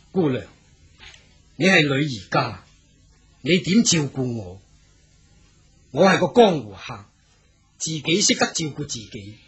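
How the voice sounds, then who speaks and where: An actor playing an earnest, proud young wandering swordsman in a radio drama, speaking in a theatrical, deliberate way. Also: on the high side, big pitch swings, fairly bright tone, breathy edge, full character voice. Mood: amused, proud. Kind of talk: Cantonese radio drama acting.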